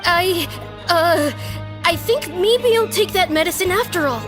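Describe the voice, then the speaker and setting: A woman's voice: very high voice, animated, unhurried, over music. A woman voicing a stubborn young boy patient who grumbles and pleads in a game scene. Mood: sulky. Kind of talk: game voice acting.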